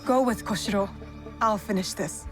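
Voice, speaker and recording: A woman's voice: medium pitch, normal speed, music in the background, lightly acted. An actress playing a resolute, unsentimental young female shinobi in a video game cutscene, speaking curtly and firmly. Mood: cold, pressing.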